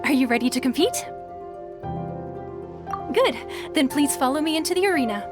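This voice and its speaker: A young female clerk with a high voice, polite and easily flustered, speaking in awed, hesitant game dialogue. Faint music plays behind her. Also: expressive, normal speed. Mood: courteous, flustered. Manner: hesitant, courteous, breathless with awe. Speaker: registration clerk. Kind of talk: video game character dialogue.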